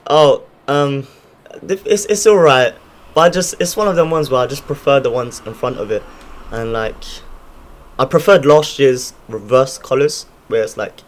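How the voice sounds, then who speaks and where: A man's voice: mid-range voice, moderate pace. A man co-hosting a podcast, talking in a casual, easygoing, informal way. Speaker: podcast co-host. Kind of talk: casual panel chat.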